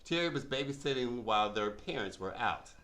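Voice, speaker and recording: A man's voice: medium pitch, expressive, brisk, bright, forceful tone. A man narrating a scripted children's puppet show, instructive and emphatic as he addresses young listeners.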